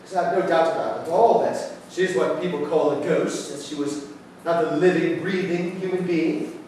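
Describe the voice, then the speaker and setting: A man's voice: fairly high pitch, fairly even intonation, moderate pace, a little mellow, natural voice. Man playing an earnest young solicitor, very echoey stage. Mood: uneasy resolve. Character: earnest, stubborn young male solicitor, politely formal. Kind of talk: period stage play acting. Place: indoor theatre stage, mic far off. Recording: very echoey theatre, distant mic, faint noise.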